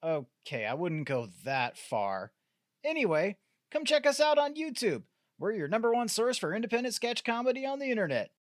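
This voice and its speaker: A man with a high, lively voice delivers a scripted comedy promo as the straight man. The sound is very dry, and his pitch moves around a lot. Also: moderate pace, pauses often, dry recording.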